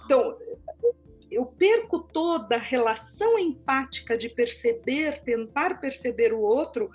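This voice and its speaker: A woman with a Brazilian Portuguese accent, a podcast guest explaining communication and empathy, animated and sometimes acting out other people. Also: sits high, a little slow, husky. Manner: animated, acts out quoted people. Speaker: speech therapist guest. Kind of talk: podcast conversation. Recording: phone-like lo-fi audio with faint music.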